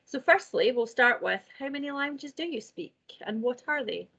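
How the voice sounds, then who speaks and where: A woman's voice: high voice, lively intonation, normal speed, creaky, sharp tone, breathy edge. Host: woman moderating a video panel, encouraging, muffled call sound. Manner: encouraging, guides the questions. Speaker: event host. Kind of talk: hosting an online panel.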